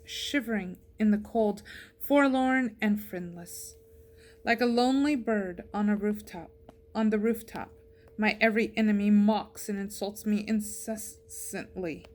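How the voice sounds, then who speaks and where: A woman with a fairly high voice reads scripture aloud. She is reciting a psalm from a written text. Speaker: scripture reader.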